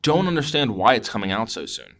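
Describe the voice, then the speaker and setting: A man's voice: fairly low pitch, sing-song, fairly quick, a bit bright, faint music behind. A man co-hosting a podcast, chatting about movies in an informal, jokey, conversational way. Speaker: podcast co-host. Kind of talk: casual movie chat.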